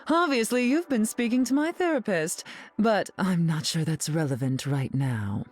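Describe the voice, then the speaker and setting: A woman's voice: fairly low pitch, lively intonation, a little slow, slightly rough, faint music behind. A woman playing a haughty, glamorous, sardonic society queen in a video game, imperious and arch in manner. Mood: sardonic, amused. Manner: imperious, arch, drawling endearments. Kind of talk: video game character dialogue.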